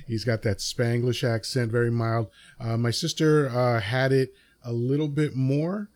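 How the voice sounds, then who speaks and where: A man from New York City talks into a podcast microphone, his accent mild but clearly his city's. He explains at an easy, meandering pace and tosses in "you know" and "right?" as he goes. His voice sits in an ordinary middle pitch. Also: fairly even intonation, measured pace, darkish tone. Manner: explains at length with frequent fillers and rhetorical "right?". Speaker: podcast host. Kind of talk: casual conversation.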